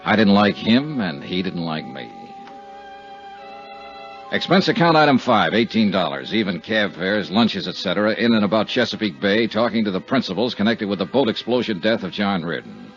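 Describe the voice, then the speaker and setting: A man's voice: lowish voice, big pitch swings, moderate pace. An American man playing a hard-boiled, wry insurance investigator in a 1950s radio detective drama, with clipped, dry delivery. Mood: dry narration. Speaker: radio drama lead actor and narrator. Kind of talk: 1950s radio detective acting, first-person narration. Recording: dull 1950s radio recording, music.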